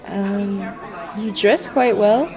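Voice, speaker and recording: A woman playing a kindly, concerned Victorian wife and hostess in an audio drama, gentle and chatty. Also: medium pitch, takes it slowly, crowd noise, lightly acted, low-quality recording. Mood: polite.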